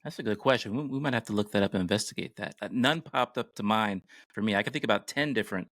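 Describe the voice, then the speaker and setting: American male podcast host, middle-aged, lower-pitched, casual conversational delivery, dry recording. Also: fast, a bit bright, no echo. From United States.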